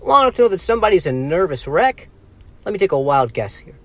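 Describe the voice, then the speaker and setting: A man's voice: high voice, big pitch swings, quick pace, dry recording, heavily acted. An actor playing a cocky, eager teenage boy sidekick with brash 1940s slang in an audio drama. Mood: teasing. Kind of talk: cinematic audio drama acting. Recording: music behind the voice.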